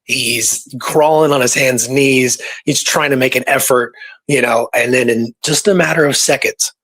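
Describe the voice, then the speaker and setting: Conversational man co-hosting, mid-pitched voice. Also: fairly even intonation, normal speed, fairly bright tone, poor audio. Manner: conversational, peppered with "you know" and "right". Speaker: radio show co-host. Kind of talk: casual storytelling about Old West history.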